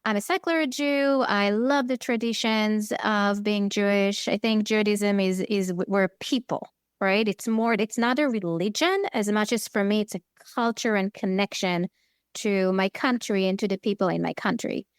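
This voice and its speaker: A woman's voice: mid-range voice, normal speed, smooth, no room sound, clean studio sound. A woman with an Israeli accent talking in a candid, direct way in a podcast conversation. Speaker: podcast co-host, therapist.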